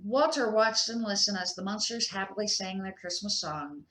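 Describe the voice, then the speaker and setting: An older woman reads a children's storybook aloud. She is a grandmother with an expressive, unpolished delivery and a medium-pitched voice. The room around her is clearly echoey. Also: normal speed.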